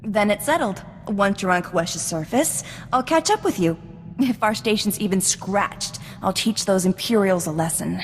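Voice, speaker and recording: An actress playing an eager, headstrong young daughter in a video game. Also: female voice, medium pitch, lively intonation, moderate pace, big-room sound, soft background music, lightly acted. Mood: eager, determined.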